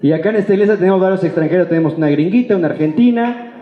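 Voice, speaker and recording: A man's voice: high-pitched, lively intonation, racing. A man with a Mexican accent preaching an informal sermon as a pastor, in a colloquial, playful way full of rhetorical questions. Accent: Mexican Spanish. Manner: colloquial, playful, acts out imagined remarks and asks rhetorical questions. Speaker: pastor preaching to a church congregation. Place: reverberant church hall. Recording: echoey hall, long reverb, dull sound.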